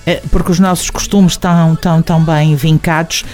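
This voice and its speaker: A woman's voice: low voice, fairly even intonation, brisk, a little mellow, soft background music. A woman with a European Portuguese accent hosting a conversational interview, effusive and affectionate with compliments for her guest. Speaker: interviewer, show host.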